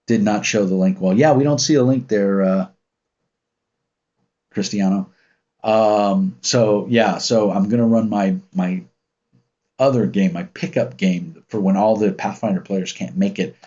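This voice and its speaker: A man in his fifties from the United States with a deep, commanding voice, chatting casually on his livestream and telling stories in a rambling, relaxed way. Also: measured pace. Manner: rambling, relaxed, with many ums and restarts. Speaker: channel host reading live chat. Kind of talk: casual livestream chat and storytelling. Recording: muffled lo-fi stream audio.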